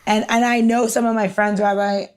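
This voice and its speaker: A woman of about forty with a mid-pitched voice co-hosts a podcast. She talks informally and eagerly, wandering through her thought as she works toward a question. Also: little pitch movement, moderate pace, a little mellow, clear, resonant tone. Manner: rambling, informal, enthusiastic. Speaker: podcast co-host. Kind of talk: casual chat.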